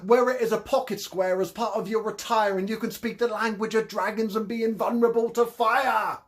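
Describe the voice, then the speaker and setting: A man's voice: sits high, steady tone, a little fast, voice pushed up, natural voice. A man putting on a pompous Cockney conman. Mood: wheedling. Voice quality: pompous, grandiose salesman patter. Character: shabby boastful old male Cockney conman, haughty.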